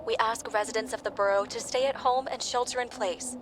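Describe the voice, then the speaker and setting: An actress playing a calm, official city announcer in a video game, giving formal, detached public notices. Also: female voice, on the high side, a little fast, background noise, close to an everyday voice.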